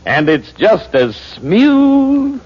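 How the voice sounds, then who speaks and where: A man's voice: sits high, sing-song, extremely slow, breathy edge. A man delivering a jingle in a 1940s radio commercial. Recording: muffled old 1940s radio broadcast.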